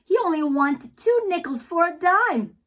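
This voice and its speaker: A woman reading poems aloud for children in a theatrical way, drawing out words and pausing between phrases. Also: extremely high pitch, lively intonation. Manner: theatrical, drawn-out words with pauses. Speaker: read-aloud host, children's reader. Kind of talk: expressive poetry read-aloud for children.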